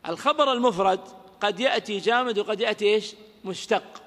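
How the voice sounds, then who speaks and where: High-voiced man teaching in a reverberant hall. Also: a bit bright. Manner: quizzes listeners with rhetorical questions; recites verse lines slowly. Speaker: grammar teacher. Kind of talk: lecture explaining a classical grammar poem. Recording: lecture audio with long hall reverb.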